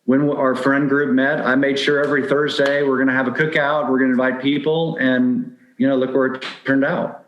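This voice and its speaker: A man in his forties speaks as a guest coach, offering advice conversationally. His voice sits at an average pitch, and the recording is noticeably roomy and echoey. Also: level tone, brisk, few pauses, lo-fi sound, a little hiss or hum. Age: 40s.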